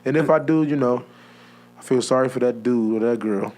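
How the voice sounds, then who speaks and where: A man's voice: medium pitch, fairly quick, a little mellow. A young man co-hosting a podcast in a casual chat, chiming in with teasing jokes. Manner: joking, teasing interjections. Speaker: podcast co-host.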